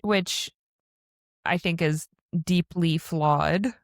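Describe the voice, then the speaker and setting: A woman with a low voice co-hosting a casual podcast. She talks in a relaxed way and thinks aloud with fillers as she goes. The sound is close and dry, with a lowish pitch and no room echo. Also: extremely slow. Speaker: podcast co-host. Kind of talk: casual chat.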